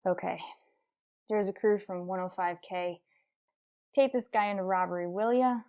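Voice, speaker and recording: Policière coriace au ton sec jouée par une femme. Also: female voice, medium pitch, normal speed, a little mellow, natural voice. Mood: dry, tough. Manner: terse, hard-boiled. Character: tough, dry female police detective. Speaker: police detective and narrator. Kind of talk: radio crime drama acting.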